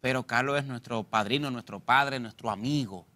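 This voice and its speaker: A man's voice: medium pitch, moderate pace. A man in his thirties with a Dominican accent, a stand-up comedian talking animatedly as a guest on a studio interview show. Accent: Dominican Spanish. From Dominican Republic. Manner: gestures with his hands, lively and joking. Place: TV studio set.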